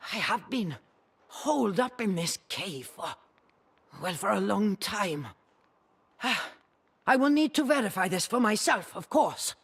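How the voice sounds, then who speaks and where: Raspy, haughty old sorceress crone. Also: female voice, fairly high pitch, fairly bright tone, heavily acted. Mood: shaken, alarmed. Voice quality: raspy, husky. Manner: theatrical, deliberate, cackling. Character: centuries-old reclusive sorceress crone, haughty, sly and brooding. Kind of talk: dramatic video game acting. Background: background music.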